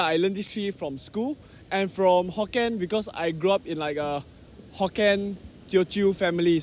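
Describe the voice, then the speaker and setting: High-voiced man from Malaysia. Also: a little mellow, a little hiss or hum. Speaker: street interviewee. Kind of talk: casual street interview answers.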